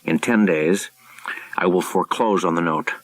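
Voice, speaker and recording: A man playing a wealthy creditor in an audiobook, coldly commanding, formal and measured. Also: low-pitched. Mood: cold, smug.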